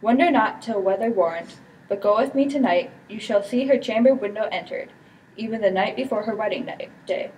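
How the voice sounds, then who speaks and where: Slanderous Shakespearean villain, played by a student girl, mid-pitched, amateur stage dialogue, distant mic. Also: fairly quick, a little mellow, lightly acted. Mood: coldly accusing. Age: teenager. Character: scheming, slanderous villain, the prince's brother. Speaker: student performer. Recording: distant mic with background noise.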